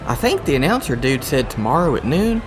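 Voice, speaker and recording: A man playing a goofy, excitable, good-natured agent in a comedic audio drama, talking in a folksy, enthusiastic way. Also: mid-range voice, brisk, music in the background, natural voice. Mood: chatty.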